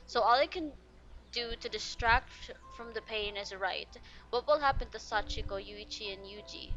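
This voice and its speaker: A woman casually reacting to a video game and reading aloud, expressive and jumping from quiet to loud. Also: fairly high pitch, highs cut off. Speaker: streamer playing a video game. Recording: game music behind the voice.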